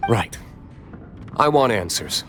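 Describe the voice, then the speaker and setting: A man's voice: lowish voice, big pitch swings, slow, a bit bright, full character voice. A man voicing a cool, guarded young tavern owner in an anime video game, dry, terse and composed. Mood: stern. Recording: faint music behind.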